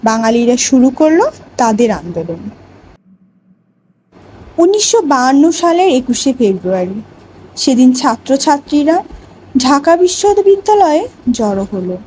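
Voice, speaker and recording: A woman playing a schoolteacher in an educational cartoon, narrating a lesson and quizzing pupils in a deliberate, instructive way. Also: high voice, very animated, a little mellow, slight background noise, poor audio. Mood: instructive, solemn. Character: female schoolteacher telling a history lesson to children. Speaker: teacher.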